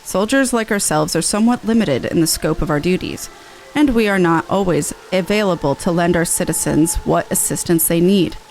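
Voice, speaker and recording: A woman's voice: lowish voice, normal speed, darkish tone, a little hiss or hum, natural voice. A woman voicing a formal, grateful city soldier in a fantasy game, with a courteous and official manner. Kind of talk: fantasy game character read-aloud.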